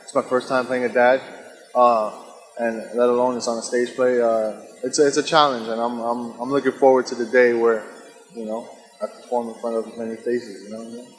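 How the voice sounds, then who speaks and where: A man's voice: mid-range voice, level tone, a little fast. A man chats informally in an interview, with a mid-range voice and loose, conversational phrasing. Long hall reverb hangs around his words. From Dominican and Puerto Rican background. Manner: informal, loose, frequent "you know". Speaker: stage actor being interviewed. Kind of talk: casual interview chat. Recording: very echoey hall.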